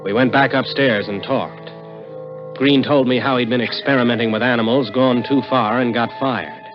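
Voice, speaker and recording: An American actor playing a smooth-talking, scheming opportunist who also narrates an early 1960s radio suspense drama. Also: male voice, medium pitch, steady tone, moderate pace, close to an everyday voice. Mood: narrating. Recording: muffled old radio broadcast, music behind.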